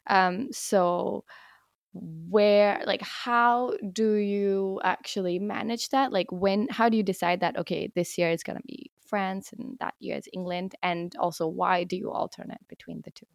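A woman hosting a podcast who gives an upbeat, welcoming scripted introduction and then asks relaxed interview questions. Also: lowish voice, creaky, dry recording, studio quality. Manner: upbeat, welcoming, drops French phrases. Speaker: podcast host.

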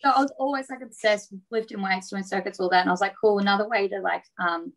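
An Australian woman answering as a guest in a casual interview, relaxed and rambling, filling her talk with "like". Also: mid-range voice, fast, poor audio. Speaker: boxer, guest.

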